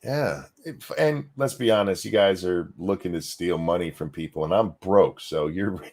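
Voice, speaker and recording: A man hosting a casual live stream, ranting in a crude, sarcastic way with loud outbursts. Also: low-pitched, moderate pace. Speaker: stream host. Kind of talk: casual profane ranting chat.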